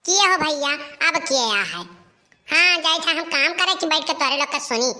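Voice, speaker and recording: A woman acts out a hot-tempered village mother from a comic cartoon. Her voice is high and piercingly sharp, with an Awadhi accent. She rants, complains and curses like someone worn out by chores and her wayward child. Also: expressive, normal speed, exaggerated voice. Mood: irritated. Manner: ranting, complaining, cursing.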